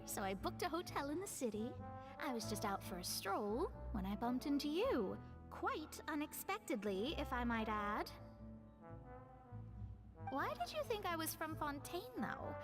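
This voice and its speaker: Casting: young female stargazer scholar for a game, proud and lecturing, high, lively, articulate. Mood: brisk, haughty. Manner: articulate, lecturing, self-assured. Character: proud, wordy young female astrologist, smart and slightly haughty. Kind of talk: video game voice acting.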